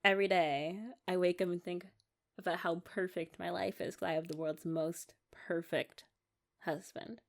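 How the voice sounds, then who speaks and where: A woman's voice: lowish voice, fairly even intonation, moderate pace, close to an everyday voice. A young American woman playing an eager, affectionate wife in an intimate ASMR romance roleplay, rambling and coaxing in a giggly way. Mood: loving.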